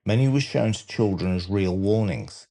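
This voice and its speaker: A man narrating a scripted countdown documentary with a measured, dramatic delivery. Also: on the low side, warm tone. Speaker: narrator and channel host.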